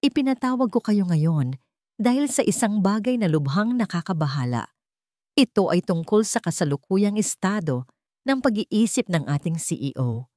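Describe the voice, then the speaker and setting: A woman voicing a scheming older businessman father in an audio story, formal and measured, with a Filipino accent. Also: medium pitch, big pitch swings, dark tone, no echo, studio quality, natural voice. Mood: grave, formal.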